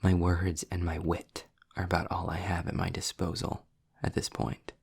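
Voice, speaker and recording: A man playing a sarcastic, impatient, erudite demon in a scripted audio roleplay, speaking in a wry, wordy way with dramatic pauses. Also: low-pitched, monotone, creaky, slightly rough, no echo, studio quality, exaggerated voice.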